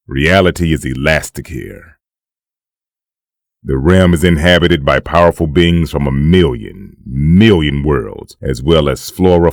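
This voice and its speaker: A man performing as a grave comic-book narrator with a gravelly voice, reading scripted dramatic scene-setting. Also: extremely low pitch, no echo, studio quality, heavily acted.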